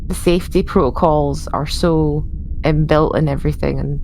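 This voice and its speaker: A woman's voice: low voice, fairly even intonation, moderate pace, gravelly, darkish tone, a little hiss or hum. A woman playing a tabletop role-playing game, casually describing what her character does in a rambling, playful way.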